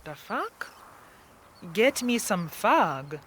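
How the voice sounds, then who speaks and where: Slow-spoken sea captain, wry, profane and commanding, fantasy audio drama. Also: female voice, mid-range voice, very animated, a bit bright, slight background noise, heavily acted. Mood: incredulous, angry. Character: tough, foul-mouthed woman boat captain of the seas.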